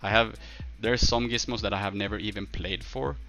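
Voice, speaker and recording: Male game streamer, Swedish accent, lowish but bright, forceful tone; off-the-cuff, halting delivery with music underneath. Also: steady tone. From northern Sweden. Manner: halting, thinking aloud. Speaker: game livestreamer. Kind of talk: casual livestream chat, answering viewers' questions.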